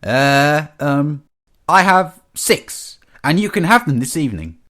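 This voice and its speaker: Man voicing a cheeky high-pitched little tank engine. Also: very animated, slow pace, fairly bright tone, exaggerated voice. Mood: mock innocent. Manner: teasing, mock-innocent.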